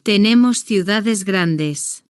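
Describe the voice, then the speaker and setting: Woman narrator for a learner story, slow and deliberate, dry close sound. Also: medium pitch, clear, resonant tone, dry recording. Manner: slow, deliberate, evenly paced short sentences. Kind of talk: slow scripted first-person story narration for beginners.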